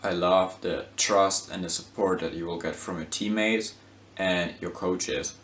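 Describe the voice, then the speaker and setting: A man from Germany, a gymnast speaking in a steady, motivational way. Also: sits low, a little slow, echoey room.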